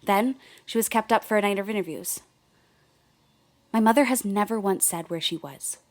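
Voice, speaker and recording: A woman's voice: mid-range voice, moderate pace, lightly acted. A woman playing a blunt, sardonic woman in her thirties giving candid first-person narration in a radio play. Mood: candid, intent. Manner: frank, sardonic, swears.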